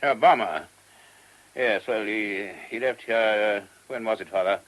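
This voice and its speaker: A man's voice: on the low side, measured pace, a bit bright. An actor playing a genteel, discreet male abbot in a radio play, tactful and donnish, picking words with hesitation.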